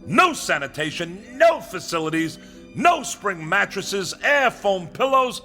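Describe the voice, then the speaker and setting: An actor playing a pompous, irritable scientist, haughty and complaining in a theatrical vintage comedy style. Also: male voice, high-pitched, sing-song, measured pace, bright, forceful tone, faint music behind, exaggerated voice. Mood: grumpy, disgusted. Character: pompous, irritable male scientist, perpetually dissatisfied.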